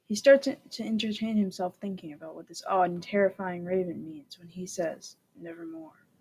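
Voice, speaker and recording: A woman's voice: mid-range voice, animated, normal speed, creaky, darkish tone, slight background noise, rough recording. A woman narrator reading a scripted story aloud.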